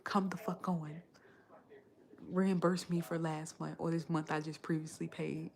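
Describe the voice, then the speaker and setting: Low-pitched, creaky American woman livestreaming. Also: level tone, unhurried. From Chicago, United States. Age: 30s. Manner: slangy, swearing, emphatic, repeats phrases for emphasis. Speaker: live streamer. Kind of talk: casual livestream chat, animated storytelling.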